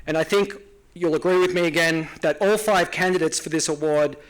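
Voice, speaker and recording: This is an Australian man presenting an award with a prepared speech. His voice sits a little high for a man, and his tone is earnest and formal, with small hesitations along the way. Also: steady tone, brisk, poor audio. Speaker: award presenter, nonprofit founder.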